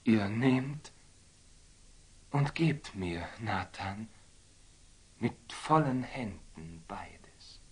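An actor playing a proud, hot-headed young knight in a classic German radio drama, intense and theatrical. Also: male voice, medium pitch, slightly rough, heavily acted. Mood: stunned, moved. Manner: intense, theatrical, breaking into stunned whispers.

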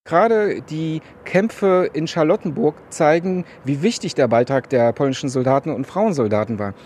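A man's voice: fairly high pitch, expressive, normal speed, a little mellow, a little hiss or hum. A man, speaking earnestly and with measure in a radio interview. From Poland. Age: 40s.

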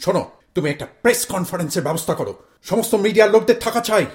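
A man's voice: high voice, animated, rapid-fire. A man playing a boastful, fired-up father. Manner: boastful, theatrical, mixing in English phrases. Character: fired-up middle-aged father with grand protest plans. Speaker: father in a radio play. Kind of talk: audio play acting.

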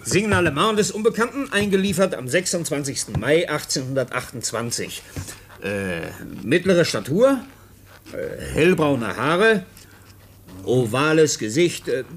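A man playing a gruff, impatient police sergeant in a radio play, barking questions and dismissive remarks. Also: fairly high pitch, big pitch swings, unhurried, a little hiss or hum. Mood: officious dictation. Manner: barking, interrogating, insulting his subordinate.

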